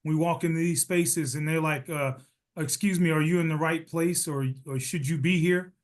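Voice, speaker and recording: Man with a slightly high voice, lots of restarts. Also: moderate pace. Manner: animated, many restarts, self-deprecating humor. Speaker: panelist, retired captain and nurse leader. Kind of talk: conversational panel answer.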